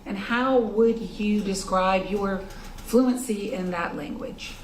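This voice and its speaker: A woman prosecutor questioning a witness in court, polite, formal and measured. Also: mid-range voice. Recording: echoey courtroom, distant mic, noisy.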